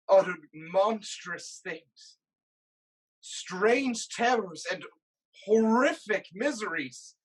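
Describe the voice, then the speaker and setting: Someone acting a haunted greek king, husky and grave, slow weighty dramatic lines. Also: mid-range voice, rough recording. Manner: grave, deliberate, declamatory. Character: anguished proud king of Thebes, haunted by prophecy. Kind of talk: dramatic reading of Greek tragedy.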